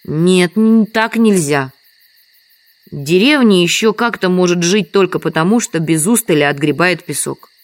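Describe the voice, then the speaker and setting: A woman's voice: on the low side, measured pace, dry recording, lightly acted. An actress playing a dutiful, stubborn village woman in an audio drama, plain and insistent in manner. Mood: resigned, firm.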